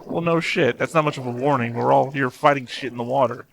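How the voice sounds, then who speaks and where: A man talks through his reactions in a casual, unscripted way. His voice sits at an ordinary middle pitch, and he is blunt, tossing in swear words as a reaction host. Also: quick pace, gravelly. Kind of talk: casual off-the-cuff commentary while watching.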